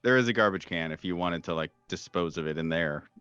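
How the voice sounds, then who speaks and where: A man narrating a tabletop horror game as game master, speaking in a halting, improvised way with many pauses. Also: deep voice, fairly bright tone, muffled recording.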